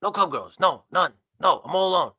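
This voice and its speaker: A man's voice: high voice, animated, sharp tone, breathy edge, dry recording, full character voice. A man voicing a jittery biker who pleads and reassures, repeating his words, in a tabletop roleplaying game. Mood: pleading, hurried. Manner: rapid repetition of words.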